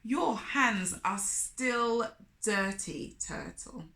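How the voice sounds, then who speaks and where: A woman's voice: on the high side, lively intonation, drawn-out words, creaky, bright, forceful tone, reverberant, full character voice. A woman voicing a greedy, selfish male spider in a storybook, scolding his guest with fake politeness and stammered excuses.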